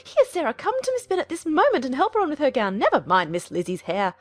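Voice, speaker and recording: A woman's voice: huge pitch swings, fast. A woman voicing a fussy, bossy middle-aged mother with urgent exclamations in a dramatized classic-novel audiobook. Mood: frantic, excited. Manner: shrill, urgent exclamations and pet names.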